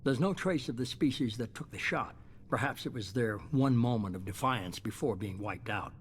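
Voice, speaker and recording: An actor playing a shadowy, coolly self-justifying middle-aged leader in a video game, measured, authoritative and unapologetic. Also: male voice, medium pitch, slight background noise, natural voice. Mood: measured, calculating. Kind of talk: dramatic video game dialogue.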